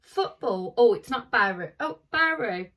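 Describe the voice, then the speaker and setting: Woman, primary teacher type for children's story time: slightly rough-edged voice, informal delivery, mic a bit distant. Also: fairly high pitch, lively intonation, measured pace, a bit bright. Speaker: primary school teacher reading to pupils. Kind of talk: reading a children's picture book aloud with informal asides.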